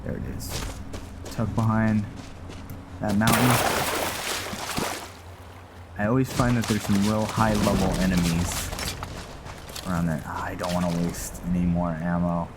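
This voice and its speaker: A man's voice: low voice, level tone, a little slow, long pauses, noisy background. A man giving casual, laid-back commentary as he roleplays through a video game, musing aloud and chatting to viewers. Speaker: gaming video host. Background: game music.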